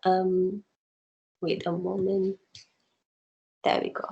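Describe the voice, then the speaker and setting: Woman researcher, Indonesian first language, measured and unhurried, walking through examples over a video call in a roomy, echoing space. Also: fairly low pitch, monotone, vocal fry, dull audio. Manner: thoughtful, explains with examples, many ums. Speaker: researcher presenting her dissertation.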